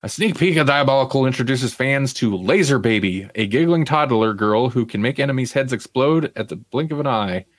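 A man's voice: medium pitch, animated, brisk, hardly any pauses, no room sound. A man hosting a podcast, casually chatting through entertainment news and sometimes reading aloud, with wry, self-deprecating humor. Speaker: podcast host.

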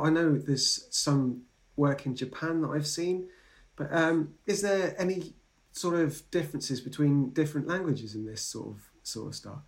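Casual male podcast interviewer. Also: on the high side. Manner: informal, rambling questions with fillers.

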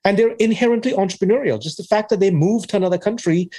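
A man's voice: sits high, quick pace, dark tone. A man hosting an interview podcast, enthusiastic and affirming, pushing up on key words. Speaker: podcast host. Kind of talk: conversational interview.

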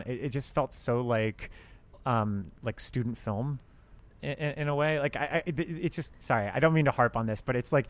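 Male co-host, medium pitch, casual movie talk, stop-start and emphatic. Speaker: podcast co-host. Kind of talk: casual film discussion.

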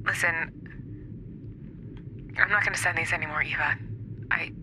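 A woman playing a hurt, pleading estranged wife, halting and emotional, leaving a voicemail in a naturalistic audio drama. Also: sits low, fairly even intonation, natural voice. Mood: resigned, tearful apology. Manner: halting, emotional, plain-spoken. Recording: noisy recorded voicemail message.

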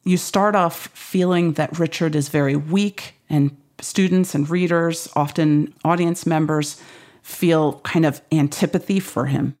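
A woman's voice: deep voice, steady tone, measured pace, darkish tone. A woman giving explanatory commentary. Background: music.

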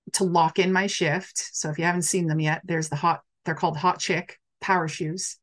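Chatty animated woman on a live stream. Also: fairly low pitch. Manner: animated, chatty, reads out viewer comments. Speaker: coach hosting a live stream. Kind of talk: casual live-stream talk to viewers.

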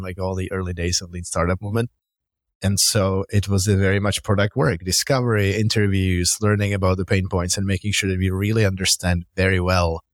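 Low-pitched Czech man explaining. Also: dry recording, clean studio sound. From Czech Republic. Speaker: startup founder and CEO, interview guest. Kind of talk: conversational interview answers.

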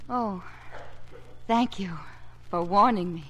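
An actress playing a flustered, well-bred young daughter, shy and smitten, with a hesitant, polite delivery in 1950s radio drama style. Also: female voice, mid-range voice, takes it slowly. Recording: noisy old radio broadcast recording.

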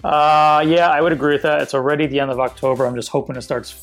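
A man co-hosting a podcast in casual chat, low-key, hesitant and good-humored. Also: fairly even intonation, quick pace, faint music behind, low-quality recording. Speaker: podcast co-host.

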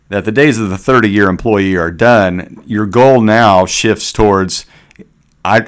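Podcast host guy, kinda low voice, casual, agreeable, chimes in with short replies. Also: clear, resonant tone. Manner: agreeable, attentive. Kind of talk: casual interview with brief affirming responses.

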